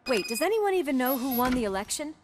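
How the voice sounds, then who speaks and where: A tough female police officer from a game. Her voice sits high and she talks briskly and with determination. Faint street noise sits behind her. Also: sing-song. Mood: determined. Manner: brisk, no-nonsense. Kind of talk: video game cutscene acting.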